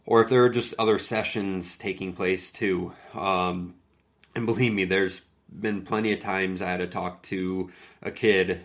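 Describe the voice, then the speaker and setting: A man's voice: low-pitched, fairly bright tone. A young man hosting a solo podcast, talking casually and earnestly while getting over a cold. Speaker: podcast host and fitness coach.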